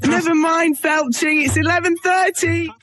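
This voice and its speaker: Very high-pitched male radio presenter. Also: fairly even intonation, moderate pace, music in the background. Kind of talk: casual radio sign-off chat.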